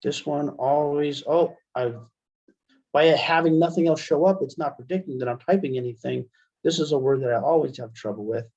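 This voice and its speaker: A man giving a casual software demonstration as an instructor, conversational and thinking aloud as he goes. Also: fairly high pitch, moderate pace. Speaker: instructor, webinar presenter. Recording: dull, band-limited webinar audio.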